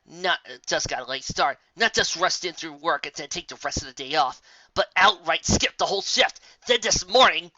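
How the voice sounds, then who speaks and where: Reader doing temperamental female pegasus, grumbling, blunt, exasperated, storybook character, forceful bright voice, dry close sound. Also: mid-range voice, lively intonation, moderate pace, dry recording, dull audio. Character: grumpy, temperamental female pegasus weather worker.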